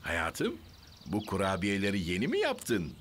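A man's voice: sits low, wildly swooping pitch, a little slow, a bit bright, a little hiss or hum, full character voice. A man voicing an affectionate, fussy father rooster in a children's cartoon. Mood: stern.

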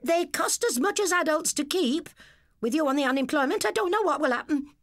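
An English woman voicing a sharp, bitter stepmother in a storybook, nagging and indignant. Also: sits high, lively intonation, a little fast, dry recording, studio quality, pitched above the performer's own voice. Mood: angry nagging.

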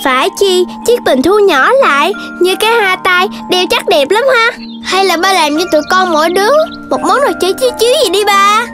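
Very high-pitched, plucky cartoon puppy kid. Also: female voice, expressive, brisk. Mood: eager, excited. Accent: Southern Vietnamese. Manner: eager, childlike, exclamatory. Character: Đốm, plucky mischievous young puppy kid, bossy little leader. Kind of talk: children's cartoon dub acting. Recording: music behind the voice.

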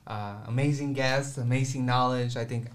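A man's voice: mid-range voice, steady tone, normal speed. A man with a mid-range voice speaks as a podcast co-host. His talk is casual chat into a studio microphone. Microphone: studio microphone, headphones.

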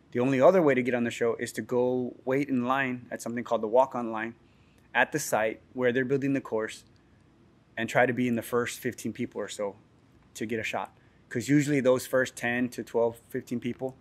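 A man in his thirties sits for a documentary interview inside a training gym. He explains his ideas earnestly at a fast pace, in a mid-pitched voice. His sentences are full of fillers and restarts. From Texas and Chicago. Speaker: gym owner and ninja athlete.

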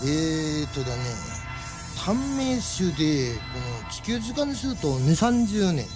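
A man's voice: fairly high pitch, big pitch swings, unhurried, music in the background. An actor playing a folksy, chatty alien man who explains things in a Japanese radio drama. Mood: matter-of-fact.

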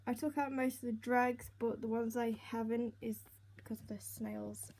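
A young woman with a slightly croaky voice, chatting casually as she narrates each step of a pet-care routine while filming on a handheld phone at home. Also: on the high side, steady tone, normal speed, a little mellow. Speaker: pet keeper vlogger. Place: indoors at home by snail tanks. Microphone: handheld phone camera. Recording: noisy home phone recording.

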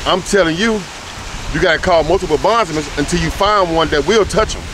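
A man's voice: fairly high pitch, a little fast, noisy background. A man giving a blunt, profane lecture in casual street talk, speaking into a handheld phone in a rainy parking lot. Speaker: street interviewer.

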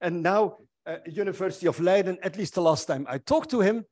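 A man's voice: high-pitched, a little fast, a little mellow. A middle-aged man, chairing an academic conference from a lectern in a wood-panelled lecture hall, genial and lightly joking. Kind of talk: welcoming remarks at an academic conference. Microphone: lectern microphone.

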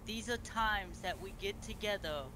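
A man playing a solemn beastman empress in online game role-play, making a formal, halting speech. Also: high voice, close to an everyday voice. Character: Empress Dragon, solemn ruler of fantasy beastmen. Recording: noisy amateur game voice chat.

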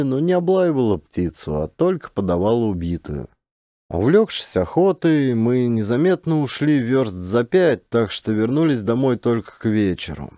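A man's voice: mid-range voice, dark tone, no echo, studio quality. A man reading a classic literary story as scripted audiobook narration.

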